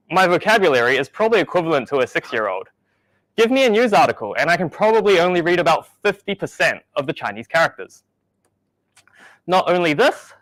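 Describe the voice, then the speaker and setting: A young man raised in New Zealand giving a measured, explanatory tech talk at a meetup, with the odd dry joke. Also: sits high, a bit bright, no room sound, rough recording. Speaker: meetup speaker.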